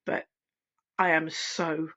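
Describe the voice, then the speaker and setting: A middle-aged woman, a singing teacher, talking candidly at home in a relaxed, unscripted vlog, pausing and restarting as she reflects. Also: deep voice. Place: at home, wrapped in a blanket. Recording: dull, lo-fi sound.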